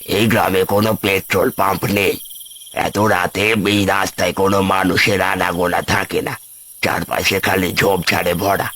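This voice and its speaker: A man's voice: sits low, flat delivery, moderate pace, pushed and bright. A man voicing a mysterious, scornful elderly village man in a cartoon ghost story. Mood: ominous warning. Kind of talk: cartoon horror story voice acting.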